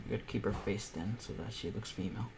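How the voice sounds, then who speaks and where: A man's voice: on the low side, fast, creaky, music in the background. A young man chatting casually on a live stream, thinking aloud as he draws. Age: teenager. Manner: rambling, thinking aloud while working. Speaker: panel host and artist. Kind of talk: casual chat while drawing on a live stream.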